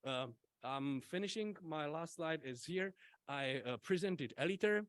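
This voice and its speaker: A man whose first language is Czech gives an academic conference talk, halting often with fillers and restarts. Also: on the high side, expressive, clear, resonant tone, dry recording, clean studio sound. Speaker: invited conference speaker.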